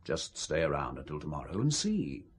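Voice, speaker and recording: A man's voice: extremely low pitch, sing-song, moderate pace, husky, heavily acted. A man with a British accent playing a suave, coercive intelligence officer, condescending and coolly menacing, in an audio drama. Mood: smoothly menacing. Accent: British English.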